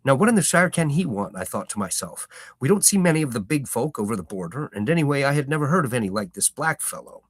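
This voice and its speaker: A man voicing a proud, stubborn old hobbit farmer who tells his tale with slow relish and rustic grammar. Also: medium pitch, very animated, husky, dry recording, studio quality, pitched above the performer's own voice. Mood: indignant. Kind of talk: reading a novel aloud.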